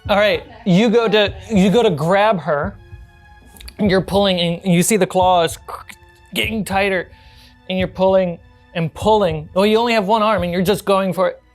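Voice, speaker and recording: A woman running a tabletop horror role-playing game as game master, narrating conversationally with pauses mid-sentence. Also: mid-range voice. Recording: background music behind the voice. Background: music.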